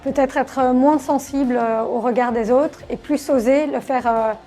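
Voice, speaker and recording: Female participant, mellow high voice, hesitant unscripted testimonial, faint music underneath. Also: fairly even intonation, measured pace. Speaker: course participant giving a testimonial. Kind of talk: spontaneous filmed testimonial.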